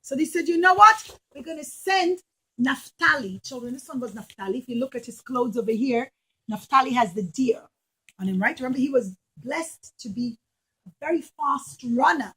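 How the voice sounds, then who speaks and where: A woman teaching young children a religious story in an expressive way, acting out the dialogue and putting questions to her listeners. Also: high-pitched, normal speed, breathy edge, rough recording. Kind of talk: storytelling puppet show lesson for young children.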